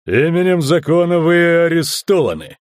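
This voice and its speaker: A man's voice: medium pitch, animated, moderate pace, gravelly, dry recording, clean studio sound. Man acting out a barking, menacing guard ruffian. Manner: barking, impatient commands. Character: gruff, threatening bald male guard ruffian.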